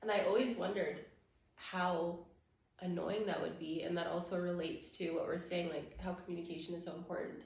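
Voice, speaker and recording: A woman's voice: on the low side, fairly even intonation, normal speed, vocal fry, darkish tone. A woman chatting casually with her partner as co-host of a couple's channel, with a giggly manner. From Romanian background. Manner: giggly, laughs often while talking. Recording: very echoey room.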